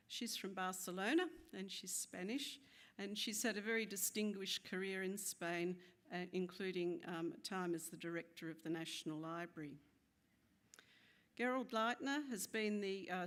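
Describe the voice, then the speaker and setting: A woman introducing the keynote speakers at a conference, informal, with some fillers. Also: lowish voice.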